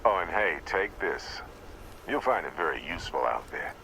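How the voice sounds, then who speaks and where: A man's voice: low voice, very animated, moderate pace, creaky, bright, forceful tone, slight background noise, full character voice, poor audio. A man playing a jovial, roguish space explorer in a video game, breezy, teasing and theatrical. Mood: upbeat, assured.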